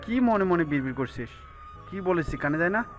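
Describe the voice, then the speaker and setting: A stern, hot-tempered father in an audio story, as a man acts him out. He scolds and gives orders in a slightly high male voice, with music behind. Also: lively intonation, a little fast, fairly bright tone, muffled recording. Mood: irritated, commanding. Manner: scolding, commanding. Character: angry, stern father scolding his grown son.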